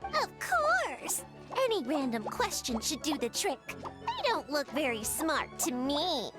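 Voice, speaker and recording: A woman's voice: high voice, wildly swooping pitch, takes it slowly, over music, heavily acted. A woman voicing a smug, scornful, self-important little fungus creature in a video game, very theatrical.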